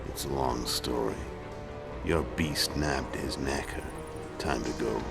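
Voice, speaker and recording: An actor voicing a huge, grim kingslayer in a video game, cold and commanding, speaking in terse, menacing phrases. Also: male voice, a bass voice, slow pace, creaky, full character voice. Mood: cold, calculating. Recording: music behind the voice.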